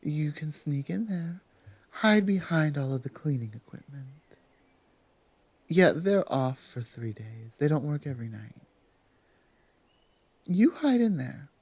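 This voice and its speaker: A woman playing a big, strong female minotaur guard captain in an ASMR roleplay, gruff but protective, firm and teasing, sometimes dropping to a hush. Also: low voice, no room sound, full character voice. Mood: stern, protective.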